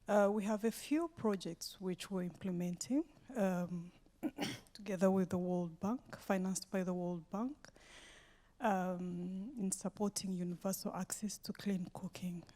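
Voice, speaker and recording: A woman from Rwanda working as a government energy official, asking a question at a conference with slow, hesitant speech full of fillers. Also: lowish voice, warm tone. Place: conference hall.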